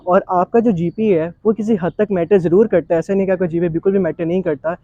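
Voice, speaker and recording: A man's voice: high voice, steady tone, quick pace, mellow, no echo, highs cut off. A man with a Pakistani accent hosting a conversational interview, mixing English phrases into his speech. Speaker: interview show host.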